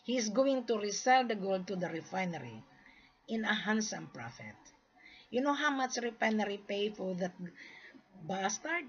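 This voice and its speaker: A woman born in the Philippines who is Australian, giving an emphatic, insistent unscripted monologue in a husky voice, repeating her points as she explains. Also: mid-range voice, expressive, normal speed. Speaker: gold trade seller's mandate presenting to camera. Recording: dull, lo-fi sound.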